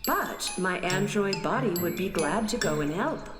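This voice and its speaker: A woman playing a gentle, literal-minded starship android who explains things in measured phrases in a children's radio play. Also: mid-range voice, lively intonation, lightly acted. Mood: pleasant, naive. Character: gentle, matter-of-fact female planet-powering starship android. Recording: echoey hall reverb, music behind.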